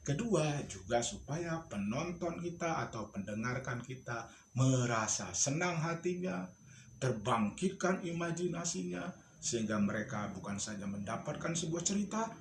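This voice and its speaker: Man teaching in an echoey, noisy room. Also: a little high. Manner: instructive, thinking aloud while showing objects. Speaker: storytelling instructor and puppeteer-storyteller. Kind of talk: informal tutorial demonstration. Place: indoor home studio. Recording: echoey room with clear background noise.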